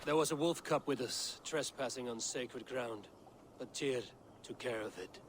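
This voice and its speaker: A man voicing a proud, suspicious god-king in a video game, speaking gravely and with command. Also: mid-range voice, measured pace, lightly acted. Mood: stern. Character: brooding proud male god-king, stern. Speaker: game character. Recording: game audio with steady noise.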